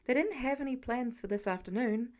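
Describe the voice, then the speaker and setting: A woman's voice: on the high side, brisk, a little mellow. A woman reading a story aloud as scripted narration. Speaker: podfic narrator.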